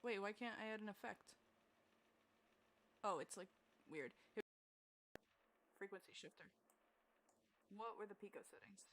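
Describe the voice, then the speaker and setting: A woman's voice: medium pitch, unhurried, pauses often, a bit bright, poor audio. A young woman streaming while she makes music, rambling and thinking aloud as she works, with lots of restarts. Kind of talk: casual live-stream chat while working.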